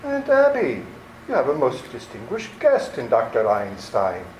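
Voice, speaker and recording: Casting: man to play menacing radio-play villain, theatrically courteous and bossy, animated melody, roomy sound with faint noise. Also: medium pitch, unhurried. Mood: coldly insistent. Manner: mock-courteous, commanding, theatrical. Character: menacing, scheming criminal nephew with a changed face. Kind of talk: 1940s-style radio theater acting. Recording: echoey room with faint noise.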